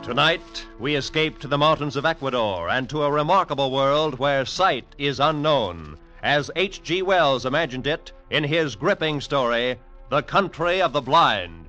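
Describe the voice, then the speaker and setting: Old radio announcer guy, formal and dramatic, medium pitch, muffled sound, music behind. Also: expressive, bright, forceful tone. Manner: formal, dramatic, measured phrasing. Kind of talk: 1940s radio drama introduction, scripted. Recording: dull old radio recording.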